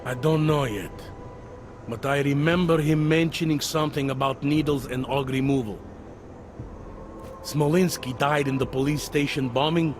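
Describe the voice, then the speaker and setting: A man's voice: on the high side, steady tone, normal speed, over music, lightly acted. A man acting a world-weary, middle-aged police detective in a video game, with a hesitant, wry, conversational delivery. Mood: pensive, hesitant. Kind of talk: video game cutscene dialogue.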